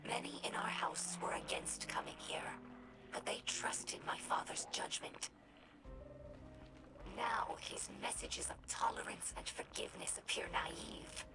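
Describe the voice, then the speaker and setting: Whispery young alien scholar. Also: female voice, on the high side, expressive, poor audio. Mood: troubled, questioning. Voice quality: breathy, whispery. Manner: measured, formal, thoughtful phrasing. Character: young female alien scholar, earnest, gentle and inquisitive. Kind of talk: video game character dialogue. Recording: music behind the voice.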